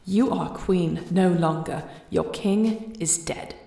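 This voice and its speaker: A woman acts out a fierce warrior queen of dragon blood in a fantasy audio roleplay. Her voice is low and creaky, recorded close and dry. She speaks with formal, deliberate authority. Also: dark tone, dry recording, full character voice. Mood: cold, threatening. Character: commanding dragon-shifter warrior queen, fiercely protective wife.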